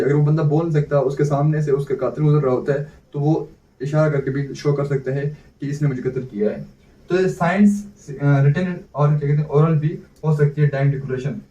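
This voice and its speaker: Male teacher, Pakistani accent, slightly high mellow voice, explaining step by step through self-posed questions. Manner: asks himself questions and answers them. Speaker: law teacher. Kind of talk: explanatory exam-prep lecture in Urdu mixed with English terms. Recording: dull, echoey room, distant mic.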